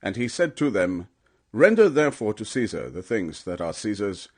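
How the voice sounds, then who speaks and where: A man's voice: fairly low pitch, big pitch swings, moderate pace, darkish tone. A man narrating scripture as a scripted audiobook, in a solemn, emphatic storytelling manner.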